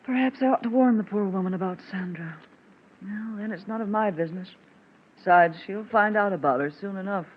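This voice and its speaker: An actress playing a stern, fed-up housekeeper with a sharp, scolding manner in 1940s radio acting, on a muffled old recording. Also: female voice, medium pitch, a little fast, background noise, natural voice. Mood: exasperated.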